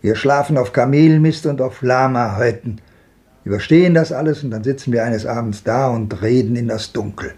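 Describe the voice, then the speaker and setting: Radio play casting: male actor as old wanderer, world-weary, dark mellow tone, medium pitch, lyrical declamation. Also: fairly quick, close to an everyday voice. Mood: grave, reminiscing. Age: older. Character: world-weary old wanderer looking back on a hard life. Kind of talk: 1950s German radio drama acting.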